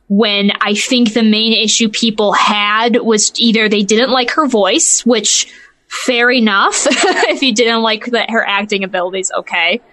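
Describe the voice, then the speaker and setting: A woman's voice: mid-range voice, a bit bright. A woman co-hosting a casual gaming podcast, giving opinions hesitantly and often circling back to qualify herself. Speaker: podcast co-host.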